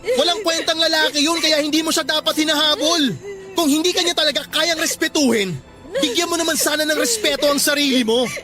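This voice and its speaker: A man's voice: very high-pitched, a little fast, over music. A man with a Filipino accent playing a protective, frank young office worker in a radio drama, informal and insistent. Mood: angry, protective. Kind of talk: Tagalog radio drama acting.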